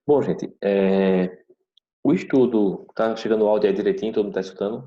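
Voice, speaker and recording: A man teaching a history class over a video call, explaining slowly and checking in with "tá certo?" after each point. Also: on the low side, warm tone. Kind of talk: online class lecture. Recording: dull video call audio.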